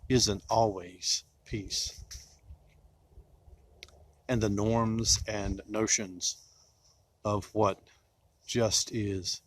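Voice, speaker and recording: A man reciting poetry in a solemn style, with deliberate phrasing and dramatic pauses. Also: lowish voice, slight background noise.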